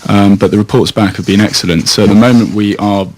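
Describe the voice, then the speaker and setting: A man who sings in a vocal group talks as a guest in a radio studio. His voice sits a little low, and he pauses and repeats words as he thinks. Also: fairly even intonation. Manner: warm, hesitant, with restarts.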